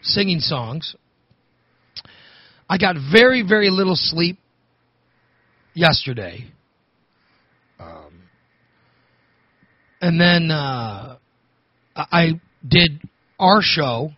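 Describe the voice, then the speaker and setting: A man hosting a talk radio show, hoarse from overusing his voice, rambling and restarting his sentences. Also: fairly high pitch, animated, very slow, long pauses, no echo, muffled recording. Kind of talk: casual talk radio monologue.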